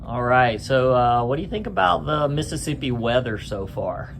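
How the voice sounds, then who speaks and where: A man's voice: mid-range voice, lively intonation, moderate pace, gravelly, faint music behind. A man interviewing soccer players, asking friendly, upbeat questions in a casual way.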